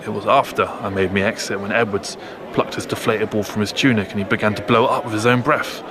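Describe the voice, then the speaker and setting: A man acts out a plain-spoken, weary sergeant from the Great War trenches in a radio play. His voice sits low, with a London accent and blunt soldierly turns of phrase. Clear outdoor noise surrounds him. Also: fairly even intonation, quick pace, lightly acted. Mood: weary, recounting. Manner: blunt, world-weary, colloquial. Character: gruff, plain-spoken British army sergeant of World War One. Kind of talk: audio drama acting.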